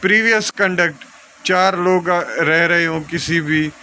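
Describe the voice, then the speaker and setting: A man's voice: on the high side, sharp tone, slight background noise. A man with a Pakistani accent giving an informal law lesson, explaining with examples and mixing in English legal terms. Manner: code-switches between Urdu and English legal terms, reading out clauses deliberately. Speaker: law lecturer.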